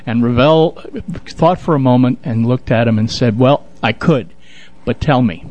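A man co-hosting a radio show, telling anecdotes conversationally with a halting build-up. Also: medium pitch, darkish tone, highs cut off.

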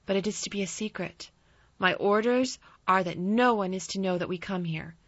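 A woman's voice: mid-range voice, normal speed, no echo, lo-fi sound, close to an everyday voice. A woman voicing a lordly, decisive young boy in a storybook reading. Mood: commanding.

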